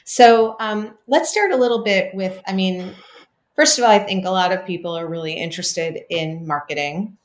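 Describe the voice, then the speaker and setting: Lower-voiced woman host, chatty. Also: moderate pace. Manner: chatty, rambling, many fillers. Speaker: podcast host. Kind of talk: casual interview chat.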